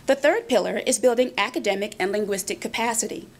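A woman's voice: on the high side, quick pace. Woman narrating a school presentation. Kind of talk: scripted narration.

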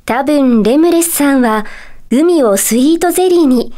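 A gentle female teacher of magic from a puzzle video game. Her voice is very high, and she speaks with courteous, composed phrasing. There is a calm air of mystery to her kindly manner. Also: unhurried. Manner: polite, soft feminine phrasing, composed and kindly. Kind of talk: Japanese video game character dialogue.

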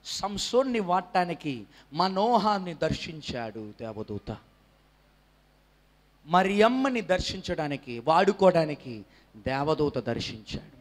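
A man in his thirties preaching a sermon from a church stage into a handheld microphone, animated and quizzing the congregation, sometimes rising to a shout. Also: fairly high pitch. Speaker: pastor.

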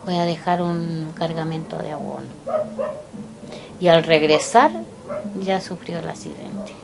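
An older Chilean woman recalling her life slowly and haltingly, pausing often to remember. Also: deep voice, fairly even intonation, vocal fry, noisy background. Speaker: interviewee. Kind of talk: personal recollection. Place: indoors, small room by a window.

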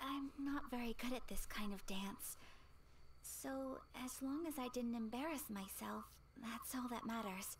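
A woman playing a gentle, shy young noblewoman in a video game, speaking softly and politely. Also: fairly high pitch. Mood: shy, fond. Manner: soft, breathy, polite, unhurried. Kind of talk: video game character acting. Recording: game audio with background noise.

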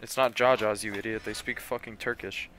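A man's voice: on the low side, steady tone, brisk. Brief: young male streamer, home desk mic, ranting play-by-play, profane and easily riled. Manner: profane, ranting, bursts into loud frustration. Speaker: gamer streamer. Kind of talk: casual gameplay commentary. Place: small room at home, indoors.

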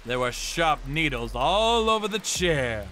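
Man playing a menacing, animated vigilante torturer over music. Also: on the high side, a little slow, exaggerated voice. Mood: grim narrating. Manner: theatrical, menacing. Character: cold, vengeful male torturer in a suit, telling his story. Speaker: narrator and lead voice actor. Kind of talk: dramatic manga dub narration.

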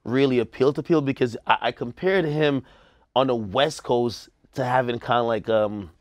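A man's voice: medium pitch, moderate pace, no echo. Bantering man on a studio talk panel. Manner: animated, jokey, trash-talking banter. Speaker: co-host. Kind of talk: casual studio panel chat. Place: TV studio set.

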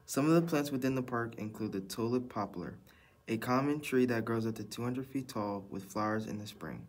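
A man's voice: medium pitch, animated, fairly quick. A teenage boy narrating a school project, reading his notes aloud. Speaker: student presenter.

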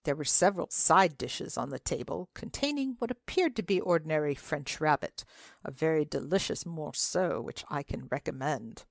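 A woman's voice: deep voice, lively intonation, moderate pace, vocal fry, dry recording, studio quality. A woman reading a classic tale aloud as a dramatic storyteller.

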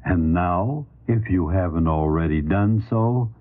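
An actor playing an ominous horror show announcer, intoning drawn-out phrases in 1940s radio style. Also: male voice, deep voice. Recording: dull 1940s radio recording.

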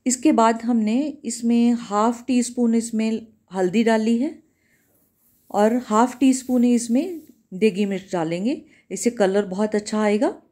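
A woman cooking-channel host, giving a calm, step-by-step recipe voice-over in a home kitchen. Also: fairly high pitch, measured pace. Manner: calm, instructive, repeats steps.

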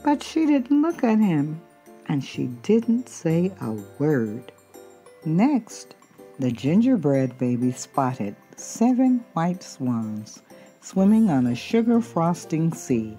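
A woman's voice: low voice, big pitch swings, slow pace, warm tone, soft background music. A woman reading a children's picture book aloud expressively, drawing out key words. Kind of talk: storybook read-aloud.

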